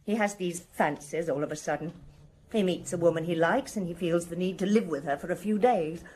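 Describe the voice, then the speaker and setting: An actress playing an elegant, nervous, middle-aged wife in a radio drama, terse and brittle with a haughty, bitter edge. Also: female voice, lowish voice, fairly quick, a little mellow, a little hiss or hum, natural voice. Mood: bitter, anxious.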